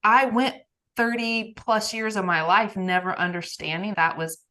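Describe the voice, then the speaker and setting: A woman hosting a podcast conversation, talking in an emphatic, animated way with frequent laughs. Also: mid-range voice, normal speed. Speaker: podcast host, nervous system coach.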